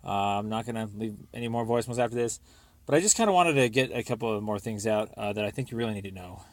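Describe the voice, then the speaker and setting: A man's voice: on the low side, quick pace, no room sound. A young American man leaving a rambling personal voicemail, speaking in an insistent, aggrieved and repetitive way.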